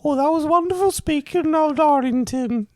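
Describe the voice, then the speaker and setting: Man putting on a high-pitched Northern Irish wife. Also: moderate pace, no echo, studio quality. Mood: fond, cheery. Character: Bob's fond, outspoken Celtic wife in pantomime. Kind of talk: comic amateur pantomime acting.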